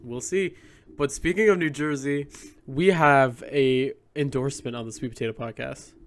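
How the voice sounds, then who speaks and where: A man co-hosting a casual pop-culture podcast, animated and jokey, quick to laugh. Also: medium pitch. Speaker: podcast co-host.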